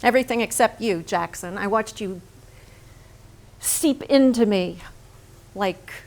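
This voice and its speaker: Actress as a woman painter, stage drama, smitten, frank, intimate, dramatic, very unhurried pace. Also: medium pitch, lightly acted. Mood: intimate, intense. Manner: deliberate, intimate, dramatic delivery. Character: Lee Krasner, frank, smitten thirty-something woman painter. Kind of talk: theatrical staged reading, monologue and dialogue.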